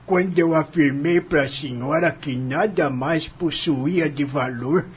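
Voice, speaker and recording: An actor playing a humble, weary old farmhand in an audio drama, calm and remorseful, with simple rustic speech. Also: male voice, a little high, slightly rough, full character voice. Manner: slow, simple rustic speech with dropped grammar. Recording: dull lo-fi audio with music underneath.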